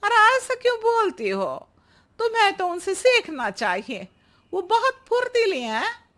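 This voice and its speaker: Woman doing nosy chirpy lady friend, cartoon, super high bright voice, swoops around scolding. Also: measured pace, heavily acted. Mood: chiding. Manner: lively, chiding.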